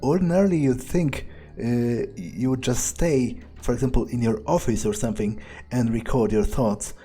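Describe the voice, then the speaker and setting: A man with a mellow, relaxed voice plays through a game in character. He thinks aloud haltingly, musing with dry understatement over a light bed of music. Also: medium pitch, breathy edge. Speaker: video game player role-playing his character. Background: faint music.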